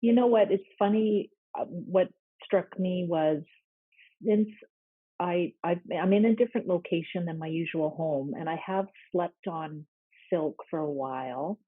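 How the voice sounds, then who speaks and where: A woman's voice: on the low side, measured pace, husky, no echo. A woman joining a video call, talking thoughtfully with hesitant restarts. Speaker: call-in participant. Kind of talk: casual chat. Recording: tinny, dry phone-like call audio.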